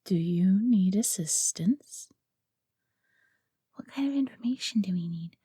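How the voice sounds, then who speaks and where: A woman voicing a helpful stone golem librarian in a fantasy audio roleplay, speaking in a stiff, formal, measured way. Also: mid-range voice, expressive, mellow, slightly rough, no room sound, clean studio sound. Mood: flat, dutiful.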